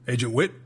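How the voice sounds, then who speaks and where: A man's voice: a little mellow, close to an everyday voice. An actor playing a weary, skeptical male intelligence chief in an audio drama, clipped and sardonic with heavy sighs. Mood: skeptical, curt. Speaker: senior agency official.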